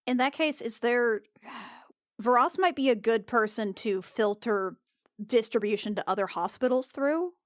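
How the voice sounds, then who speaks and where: Slow-talking woman playing a tabletop game. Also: on the high side, no room sound, studio quality. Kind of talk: casual improvised game talk.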